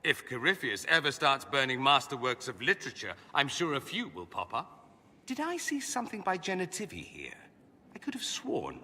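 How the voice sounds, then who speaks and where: A refined young mage from a decadent empire, bright-voiced and sardonic. His delivery swings widely in pitch, theatrical and learned, as game cutscene acting. It rings in a long hall reverb. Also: wildly swooping pitch, normal speed, slight background noise, exaggerated voice. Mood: scornful, exasperated. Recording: reverberant hall with background ambience.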